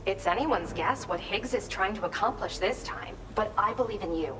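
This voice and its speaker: A woman's voice: medium pitch, normal speed, music in the background, natural voice, rough recording. A woman acting as an earnest, hopeful scientist in a video game, explaining things in an articulate way. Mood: grave, encouraging. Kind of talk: scripted game cutscene dialogue, expository.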